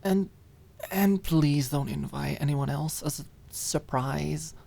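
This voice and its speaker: A woman's voice: low-pitched, slow, gravelly, dark tone, heavily acted. A woman voicing a gloomy, guarded young woman in an audiobook drama, speaking hesitantly and awkwardly, trailing off. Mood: nervous, withdrawn.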